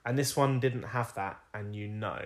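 A man with a croaky voice, co-hosting a casual film review podcast and rambling through anecdotes with frequent ums and laughs. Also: lowish voice, normal speed. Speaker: podcast co-host.

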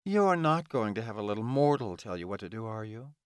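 A man's voice: a little high, sing-song, measured pace, no echo, voice pushed up. A man voicing a scornful, taunting female vampire in an audiobook, with a bitter, insulting manner.

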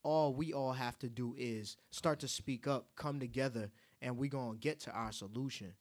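A man's voice: mid-range voice, normal speed. A man co-hosting a casual conversation. His mid-range voice takes on a passionate, preaching tone as he presses his points. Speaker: co-host.

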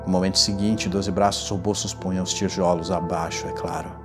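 A man playing an unhinged, gloating condemned murderer who recounts his crime in a deliberate, theatrical gothic horror reading. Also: low-pitched, level tone, music in the background, close to an everyday voice. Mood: horrified, doomed. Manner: theatrical, deliberate, swinging from hushed to exultant.

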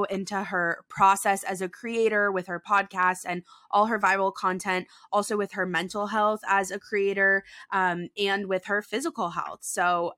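A woman's voice: medium pitch, a bit bright, no room sound, studio quality. A woman hosting a podcast, talking in a chatty, upbeat way with lots of fillers. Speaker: podcast host. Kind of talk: casual podcast chat.

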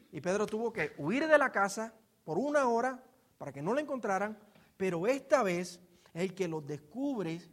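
A man with a Puerto Rican accent preaching in an informal, storytelling way, explaining scripture and asking rhetorical questions. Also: high-pitched. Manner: informal, acts out quotes and asks rhetorical questions. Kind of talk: expository sermon, conversational storytelling.

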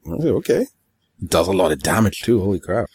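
A man's voice: sits low, sing-song, fast talker, darkish tone, husky, dry recording. A man chatting casually as a podcast co-host, offhand in manner and slipping into acting out quoted remarks. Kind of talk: casual chat about games and manga.

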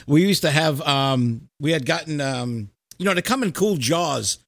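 A middle-aged man, recorded close and dry. He hosts like he is chatting with an old friend, swearing freely and telling stories in animated street slang. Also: fairly high pitch, moderate pace, vocal fry, no room sound. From New York and New Jersey, United States. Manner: animated, profane, slangy storytelling. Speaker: podcast host. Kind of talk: casual chat with a friend.

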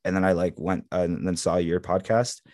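A young man born in Egypt, native in Arabic, speaking with an American accent as a podcast guest in relaxed, filler-heavy chat. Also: deep voice, a little fast, no room sound, clean studio sound. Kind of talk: casual conversation.

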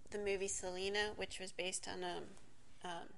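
A woman's voice: mid-range voice, flat delivery, normal speed, slight background noise. A woman in her forties from Miami telling a candid personal story, rambling and self-interrupting, swearing casually and laughing often. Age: 40s.

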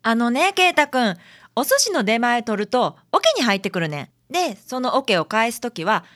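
ラジオドラマで、女性が関西弁の親切で気さくな女性探偵助手を、優しく話しかける調子で演じている。. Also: female voice, a little high, very animated, normal speed. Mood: patient. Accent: Kansai. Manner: gentle, chatty explaining. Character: friendly, kindly young female detective's assistant. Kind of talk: community radio drama acting.

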